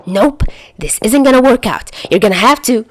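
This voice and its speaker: A brash young male subordinate, acted by a woman reading a story, blunt and scoffing. The delivery swings widely in pitch, with a dry, close sound. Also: animated, moderate pace, dry recording. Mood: indignant disbelief. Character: brash, hot-headed young male subordinate, indignant and doubtful.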